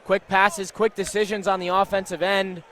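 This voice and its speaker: High-voiced male basketball color analyst. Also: little pitch movement, moderate pace, fairly bright tone, a little hiss or hum. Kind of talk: live basketball analysis and commentary. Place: courtside in a basketball arena.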